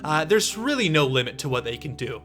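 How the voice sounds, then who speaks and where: High, bright-voiced eighteen-year-old man. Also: sing-song, a little fast. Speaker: video creator answering viewer questions. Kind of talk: casual Q and A talk to viewers.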